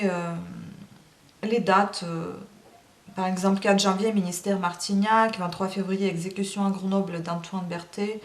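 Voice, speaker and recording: A woman's voice: mid-range voice, slight background noise. Non-native lady reading a book aloud, lots of ums and restarts, gets emotional. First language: not French. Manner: hesitant, many fillers and restarts; emotional, admits crying while reading. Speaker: audiobook reader. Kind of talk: reading a novel aloud, then casual chat.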